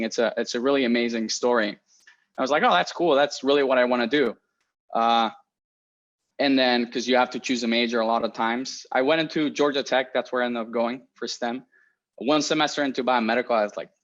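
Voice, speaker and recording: A man's voice: mid-range voice, a bit bright, lo-fi sound. A young man in his twenties who grew up in Spain, an engineer chatting casually to students about careers, friendly and rambling with many restarts. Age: 20s. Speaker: research engineer giving a career talk.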